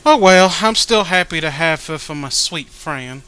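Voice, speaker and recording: A lonely, earnest comic-book sweetheart of a guy, acted out by a man, gushing in a high, clear voice. He lingers on his words with lovesick sincerity. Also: lively intonation, moderate pace, sharp tone, poor audio. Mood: wistful, adoring. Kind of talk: dramatized comic reading.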